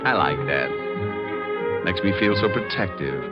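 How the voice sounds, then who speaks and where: A man's voice: sits low, expressive, unhurried. A man playing a wisecracking, easygoing private detective in a vintage radio drama, wry and slangy. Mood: narrating, dry. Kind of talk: 1940s-50s radio acting. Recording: dull vintage radio recording with music.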